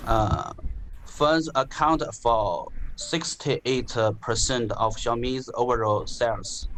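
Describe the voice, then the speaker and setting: A man from China giving his part of a class group presentation over a video call, halting with many fillers and repeated words. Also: mid-range voice, a bit bright, slight background noise, rough recording. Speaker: student presenter.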